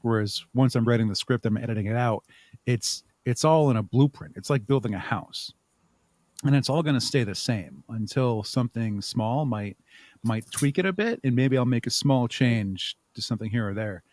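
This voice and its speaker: A man answering interview questions conversationally as a podcast guest, thinking aloud in a halting way with repeated words. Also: mid-range voice, lively intonation, a little mellow, no room sound. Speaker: podcast guest, audio drama writer and producer.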